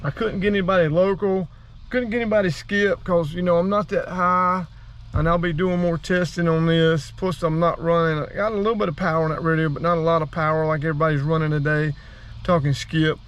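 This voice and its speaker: A man's voice: on the high side, fairly even intonation, a little fast, fairly bright tone, dull audio. An American man giving a casual hands-on demo, talking straight to viewers in a folksy way. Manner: folksy, addresses viewers directly. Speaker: outdoors channel host and radio operator. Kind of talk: casual hands-on demonstration vlog.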